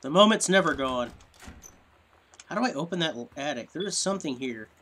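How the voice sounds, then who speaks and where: A man's voice: fairly high pitch, expressive, a little fast, bright, forceful tone. Man, headset mic, casual let's-play commentary, faint background noise. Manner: reactive, joking asides. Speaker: gameplay commentator.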